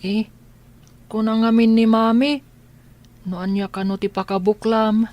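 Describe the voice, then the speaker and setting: A woman's voice: on the high side, level tone, unhurried, a little mellow, lightly acted. A woman playing a curious, innocent young boy in a radio drama, with an Ilocano accent.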